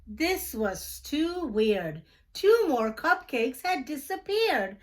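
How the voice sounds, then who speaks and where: Woman, high voice, very animated pitch, children's storytime reader, emphatic, sing-song. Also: slow pace. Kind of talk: slow expressive read-aloud of a picture book for children.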